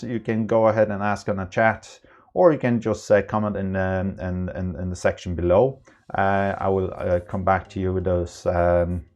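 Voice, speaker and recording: A man with a low voice walks through a product tutorial in an informal, unscripted way. His delivery is halting and his phrasing is non-native. Faint music is in the background. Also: a little mellow. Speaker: tech tutorial presenter.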